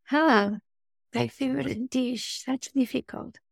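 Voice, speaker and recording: A woman's voice: sits high, steady tone, takes it slowly, vocal fry, no echo, clean studio sound. A woman from Spain, a children's author being interviewed, talking hesitantly with frequent restarts. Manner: hesitant, restarts often, light laughs. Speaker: children's book author, guest. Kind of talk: podcast interview.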